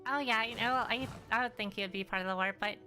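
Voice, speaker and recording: A woman's voice: on the high side, fast talker, sharp tone, soft background music. A woman giving casual gameplay commentary as a streamer, musing and hesitant as she thinks aloud. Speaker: game streamer.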